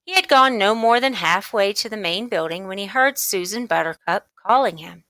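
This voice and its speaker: A woman's voice: medium pitch, normal speed, fairly bright tone, no room sound. A woman narrating a children's storybook as a read-along audiobook.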